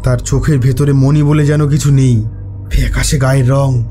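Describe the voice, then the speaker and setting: An earnest, uneasy workman from a cartoon ghost story, played by a man. His voice is mellow and mid-pitched, insistent as he tells what he saw, with faint music behind. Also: little pitch movement, normal speed, natural voice. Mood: uneasy, haunted. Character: frightened, earnest male house renovation worker. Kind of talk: cartoon horror story narration and dialogue.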